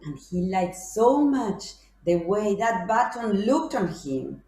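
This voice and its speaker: A woman's voice: medium pitch, animated, takes it slowly, mellow, reverberant. A woman telling a folk tale in a playful, sing-song way with mouth sound effects. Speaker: storyteller.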